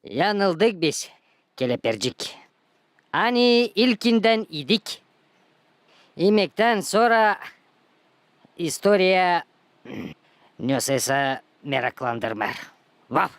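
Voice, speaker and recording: A performer voicing a playful, teasing, food-loving cartoon animal sidekick with drawn-out, exaggerated comic delivery. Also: mid-range voice, big pitch swings, no room sound. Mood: grumbling. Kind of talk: cartoon dialogue.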